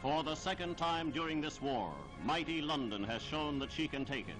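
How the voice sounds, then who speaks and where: A man reading scripted 1940s newsreel narration in a formal, declamatory, measured delivery. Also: fairly high pitch, poor audio. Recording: 1940s archival newsreel soundtrack with music.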